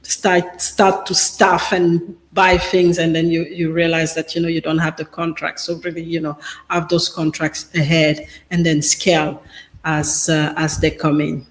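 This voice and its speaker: A man whose first language is French speaks in a fairly high voice that stays flat and even in pitch. He halts and restarts often, leaning on fillers as he answers interview questions. The sound rings in an echoey room. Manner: halting, full of fillers and restarts. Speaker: business guest speaker.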